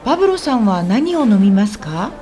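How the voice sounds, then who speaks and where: A woman playing a friendly, helpful local guide in a scripted language-lesson radio drama, explaining politely and clearly. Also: medium pitch, sing-song, brisk, background noise, lightly acted. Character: friendly, helpful woman guiding a visitor around Osaka.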